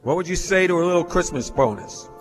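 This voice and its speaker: A man's voice: high voice, expressive, quick pace. An actor playing a greedy, domineering businessman in a stage radio play, with a smug, cutting, commanding manner. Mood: menacing, scheming. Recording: reverberant theatre hall, music in recording.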